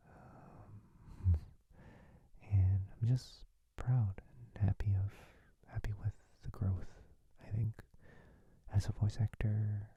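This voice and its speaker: A man chatting casually in a soft, hesitant whisper, like an ASMR creator signing off to listeners. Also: low voice, breathy edge, no room sound, phone-quality sound. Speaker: voice actor and ASMR channel creator.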